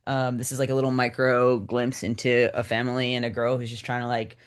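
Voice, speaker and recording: A man's voice: mid-range voice, little pitch movement, a little fast, clear, resonant tone. A man talking casually as a writer guest in an interview, informal and peppering his talk with like. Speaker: screenwriter guest. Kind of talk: casual chat.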